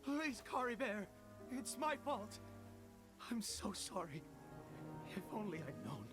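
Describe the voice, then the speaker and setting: An actor playing a distraught, obsessive middle-aged father in a video game, with halting, stammering, theatrical delivery. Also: high-pitched, sing-song, music in the background, full character voice. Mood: remorseful. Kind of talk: dramatic game voice acting.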